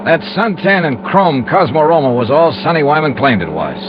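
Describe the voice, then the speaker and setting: A muffled, noisy old radio recording of an actor playing a hard-boiled American male investigator. His delivery is dry and wisecracking, with animated swings in pitch as he questions and narrates. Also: moderate pace, lightly acted. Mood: brisk narration. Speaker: lead actor and first-person narrator. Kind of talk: classic radio detective drama acting.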